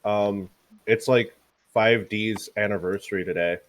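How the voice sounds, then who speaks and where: Lowish-voiced man, casual and halting. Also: steady tone. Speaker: podcast participant. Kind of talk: casual group chat.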